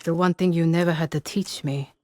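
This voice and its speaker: A woman's voice: fairly low pitch, steady tone, fairly quick, a little mellow, no room sound, clean studio sound, close to an everyday voice. An actress playing a cocky, unrepentant female renegade archer, cool and blunt, in a dramatic video game scene. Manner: cool, blunt, self-assured. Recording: faint outdoor game ambience.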